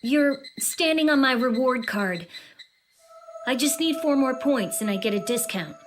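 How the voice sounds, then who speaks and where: A woman's voice: sits high, animated, unhurried, noisy background. A woman playing a proud, bitter young exiled prince in an audio drama, cool, defiant and articulate. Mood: dry, bitter. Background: background music.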